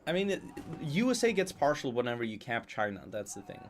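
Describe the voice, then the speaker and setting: A man's voice: mid-range voice, sing-song, a little fast. A man giving casual live commentary on a strategy game, thinking aloud as he goes.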